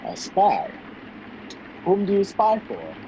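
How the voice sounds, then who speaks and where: A man acting a gallant, puzzled gentleman in a radio play, courteous and questioning as he takes charge. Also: sits high, wildly swooping pitch, takes it slowly, muffled recording, heavily acted. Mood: curious, bemused. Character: gallant, puzzled gentleman helping a frightened stranger. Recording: video call with faint background noise.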